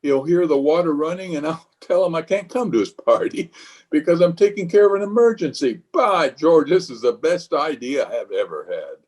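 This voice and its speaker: An actor playing a blustery, pompous, scheming middle-aged water commissioner, hammy and exclamatory, in an old-time radio comedy. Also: male voice, fairly high pitch, expressive, normal speed, darkish tone. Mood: blustering.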